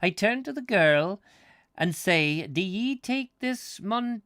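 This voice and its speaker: A man voicing an earnest Scottish village marriage priest with a broad, halting dialect in a story. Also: high-pitched, animated, fairly bright tone, no echo, clean studio sound, voice pushed up, heavily acted. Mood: earnest, explanatory.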